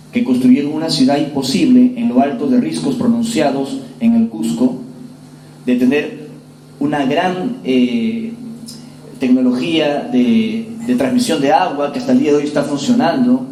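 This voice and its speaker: A man in his forties from Peru giving a public lecture, telling his story to the audience in an earnest, measured way. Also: mid-range voice, warm tone, distant mic, a little hiss or hum. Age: 40s. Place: lecture hall. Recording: roomy hall with faint ambience.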